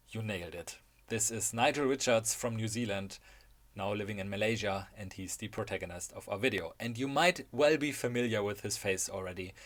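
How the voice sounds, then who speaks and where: A man with a German accent narrating a scripted video essay in a wry, enthusiastic way. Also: lowish voice.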